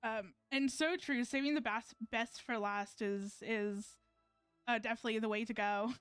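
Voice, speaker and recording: A woman's voice: fairly high pitch, steady tone, normal speed, a bit bright, clear, resonant tone, dry recording, faint music behind. A woman game streamer chatting casually in a rambling, hesitant way, close to the mic. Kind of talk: casual livestream chat, reading game dialogue aloud.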